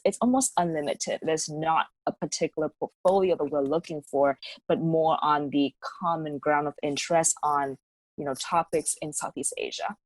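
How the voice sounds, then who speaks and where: A woman's voice: sits low, no echo, low-quality recording. A woman student and co-founder explaining how her youth network started, in a casual, explanatory way. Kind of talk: panel conversation.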